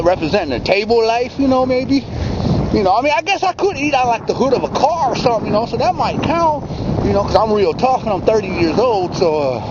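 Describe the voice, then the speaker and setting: A man in his thirties hosting his own vlog, rambling through a slangy comic monologue with mock-tough bragging. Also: high-pitched. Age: 30s. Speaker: vlog host. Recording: lo-fi with loud background noise.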